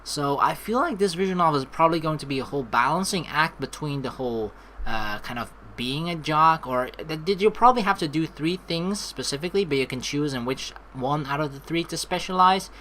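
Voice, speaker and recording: A man's voice: a little high, lively intonation, brisk, few pauses, sharp tone, a little hiss or hum. A man giving casual, rambling, joking commentary as he hosts a video game playthrough, swearing now and then. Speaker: let's play host. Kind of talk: casual gaming commentary with read-aloud game text. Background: background music.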